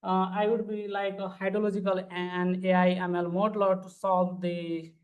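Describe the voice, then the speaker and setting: A man's voice: high voice, level tone, normal speed, narrow, tinny audio. A man, giving an earnest, formal conference panel presentation as a PhD student. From Nepal. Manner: earnest, formal, walks through slides step by step.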